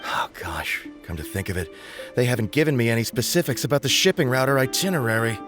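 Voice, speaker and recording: A man's voice: medium pitch, animated, fast, music in the background, natural voice. A man playing an earnest, devout and uncertain shrine visitor in a video game, musing politely and hesitantly. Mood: grateful, relieved.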